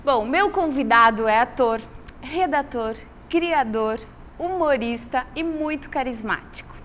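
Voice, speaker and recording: A woman's voice: high-pitched, animated, a little slow, a bit bright, big-room sound, slight background noise. A woman hosting a web TV show, introducing and interviewing her guest. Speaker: TV show host. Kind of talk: interview host.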